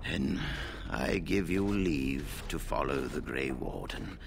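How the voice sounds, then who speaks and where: An actor playing a weary, grave old mage leader in a fantasy game, speaking in a formal, measured way with a low gravelly voice. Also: male voice, lively intonation, noisy background, exaggerated voice. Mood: grave, courteous.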